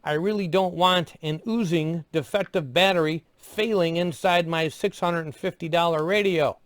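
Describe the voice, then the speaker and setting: High-voiced man narrating a dry review. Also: fairly even intonation, normal speed, fairly bright tone, no echo. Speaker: product reviewer. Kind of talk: conversational scripted review narration.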